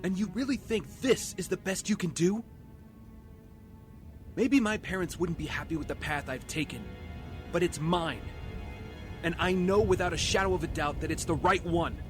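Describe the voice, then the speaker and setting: An actor playing an earnest, resolute young vigilante hero in an audio drama, speaking emphatically. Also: male voice, sits high, normal speed, pauses often, soft background music, natural voice. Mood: resolute, hopeful.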